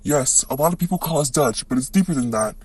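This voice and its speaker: A man's voice: mid-range voice, expressive, fast, a little mellow, husky, no echo. A man voicing a smug, scheming colonizer puppet in an amateur puppet show, speaking in a theatrical, emphatic way. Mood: proud, explanatory.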